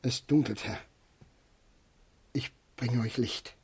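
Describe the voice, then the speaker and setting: An actor playing a distraught, deferential old manservant with a husky voice in a German radio drama. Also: male voice, mid-range voice, fairly even intonation, takes it slowly, darkish tone, slight background noise. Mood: subdued, deferential. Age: older. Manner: deferential, agitated. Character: distraught old manservant bringing grim news.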